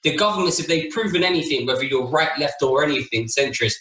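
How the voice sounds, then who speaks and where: Bright-voiced man in an echoey room. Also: a little high, quick pace. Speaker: podcast co-host. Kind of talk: casual argumentative chat. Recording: roomy, echoey room sound.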